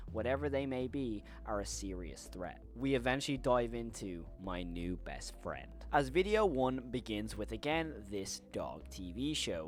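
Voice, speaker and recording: Male video essayist, horror recap host, mid-pitched voice, wordy and rambling with repeated emphasis. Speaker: YouTube horror commentator and host.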